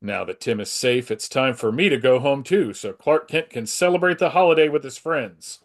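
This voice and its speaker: A man's voice: medium pitch, lively intonation, normal speed, close to an everyday voice. A man playing a confident, polite comic book superhero, earnest and composed, in a dramatic table read. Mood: kindly. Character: heroic, polite, confident adult male superhero.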